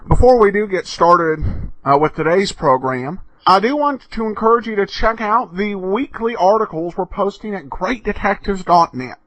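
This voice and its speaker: An American man hosting a podcast, giving a chatty scripted introduction in an announcer style with hesitant pauses. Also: high voice, lively intonation, a bit bright, no room sound, muffled recording. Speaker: podcast host.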